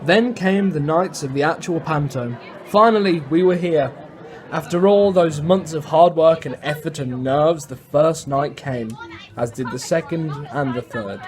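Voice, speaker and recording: A man's voice: fairly high pitch, unhurried, darkish tone, some chatter behind. A young man, narrating and interviewing casually for a documentary.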